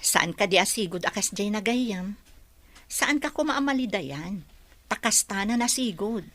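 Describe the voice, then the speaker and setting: A woman's voice: medium pitch, expressive, a little slow, a bit bright. A woman playing an elderly mother who gives patient, comforting advice in a radio drama. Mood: consoling.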